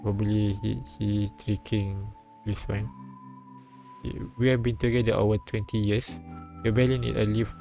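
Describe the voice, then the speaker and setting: A man live-streaming a visual novel, reading it aloud haltingly and often repeating words. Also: fairly low pitch, level tone, gravelly. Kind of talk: read-aloud narration with casual asides. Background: soft game music.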